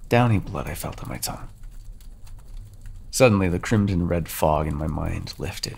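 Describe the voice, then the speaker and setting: A brooding male vampire, acted out by a man, speaks in a deep, husky voice with a gravelly creak. The delivery is theatrical yet intimate, in the style of ASMR roleplay. Each phrase is measured, remorseful and quietly menacing. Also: exaggerated voice. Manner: slow, deliberate, theatrical, intimate. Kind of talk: ASMR roleplay monologue, scripted dramatic narration.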